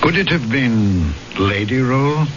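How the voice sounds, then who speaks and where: An actor playing a grave, probing male magistrate in old-time radio drama, with a measured, brooding manner. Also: mid-range voice, lively intonation, slight background noise, close to an everyday voice. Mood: questioning. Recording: muffled old radio broadcast, music behind.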